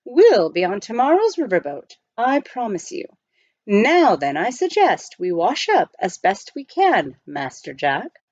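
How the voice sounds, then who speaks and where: A woman's voice: mid-range voice, big pitch swings, unhurried, darkish tone, no room sound, dull audio. A woman voicing a proper, unflappable male English butler with formal, precise, reassuring speech in a storybook. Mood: composed, optimistic.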